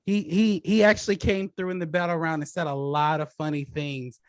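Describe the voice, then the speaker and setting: A man's voice: fairly high pitch, little pitch movement, a little fast, no echo. A man hosting a casual debate show, talking through his judging of the match. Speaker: host and judge of a debate show.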